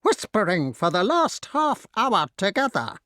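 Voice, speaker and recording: A storyteller voicing a sneaky tattletale crow with shrill, whining delivery. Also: sing-song, unhurried, pushed and bright, dry recording, studio quality. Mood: sneaking.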